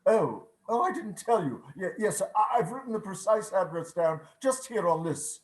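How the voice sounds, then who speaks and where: An elderly reformed miser bursts with giddy generosity in a radio play. The voice is high and very animated, repeating phrases with exclamatory delight, over a roomy, echoing call. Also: male voice, moderate pace. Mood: giddy, generous. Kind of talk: theatrical radio play reading. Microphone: video call.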